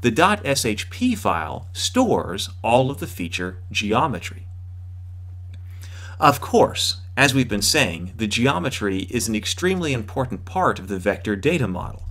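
A man's voice: mid-range voice, big pitch swings, a little slow, fairly bright tone. A man in his thirties giving an explanatory video lecture as an instructor, emphatically restating and rephrasing his points for clarity.

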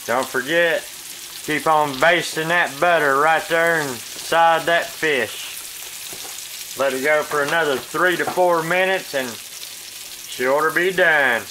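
A man's voice: a little high, slow, a little hiss or hum, poor audio. Folksy Southern man cooking at home. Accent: Southern American. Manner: folksy, relaxed, talks to viewers as "guys". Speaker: cooking channel host. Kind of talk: casual cooking demonstration. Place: home kitchen, indoors. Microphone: phone camera.

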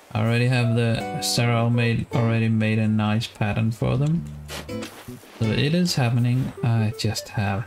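Slow-talking Danish man streaming a game. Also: medium pitch. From Denmark. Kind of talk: casual gameplay commentary. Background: guitar music.

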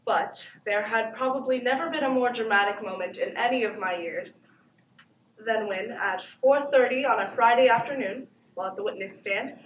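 A composed, seasoned woman prosecutor, acted by a teenage girl recounts in a measured, formal way on stage. The voice is fairly high and distant, with the echo of a theatre around it. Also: highs cut off, lightly acted. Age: teens. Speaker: student stage actor. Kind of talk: stage monologue. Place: theatre stage before an audience. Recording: echoey theatre, distant camera, background noise.

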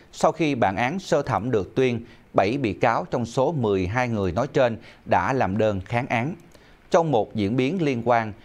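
A middle-aged man reading scripted news formally as an anchor in a TV studio. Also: mid-range voice.

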